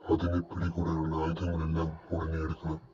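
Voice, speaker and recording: A man's voice: a bass voice, completely flat delivery, moderate pace, lo-fi sound, crowd noise. A man playing an authoritative leader of a sea-serpent hunting team in a cartoon, giving orders. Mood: urgent, commanding. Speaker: voice actor in an animated adventure. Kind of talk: Malayalam cartoon dialogue.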